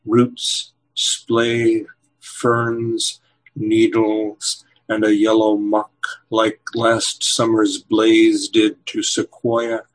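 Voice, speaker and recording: A man in his seventies, a poet, reading a poem slowly and then answering interview questions with many pauses and fillers. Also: on the low side, completely flat delivery, poor audio. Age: 70s. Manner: slow measured reading, hesitant with fillers when chatting. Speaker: poet and novelist guest.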